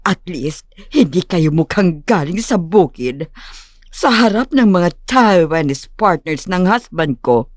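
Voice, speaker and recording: A woman's voice: fairly low pitch, animated, takes it slowly, no echo, studio quality. Woman acting a haughty socialite mother, swooping voice. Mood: condescending, mock-polite. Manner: theatrical, mixes English phrases into speech, snobbish. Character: haughty, rich socialite mother, middle-aged, condescending and cutting. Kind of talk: audio drama acting.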